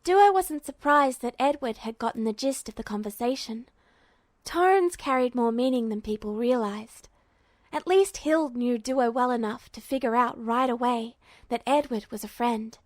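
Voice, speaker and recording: A woman's voice: fairly high pitch, a little slow, no echo. A woman reading a fiction story aloud as a scripted narrator. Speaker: podfic narrator.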